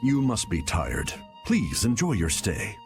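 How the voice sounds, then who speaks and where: An actor playing a polite, welcoming innkeeper in a video game. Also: male voice, fairly low pitch, huge pitch swings, unhurried, faint music behind, heavily acted. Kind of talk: video game cutscene acting.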